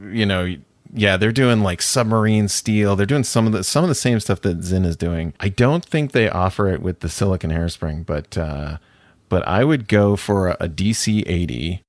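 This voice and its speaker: A man's voice: deep voice, moderate pace, no echo. A man co-hosting a casual podcast, chatty and rambling, who laughs often. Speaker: podcast co-host. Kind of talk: casual chat about watches.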